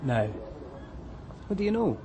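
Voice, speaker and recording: A man's voice: sits high, sing-song, measured pace. An actor playing a patient, terse male police detective questioning witnesses in a radio crime drama. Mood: probing. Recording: noisy street, car background.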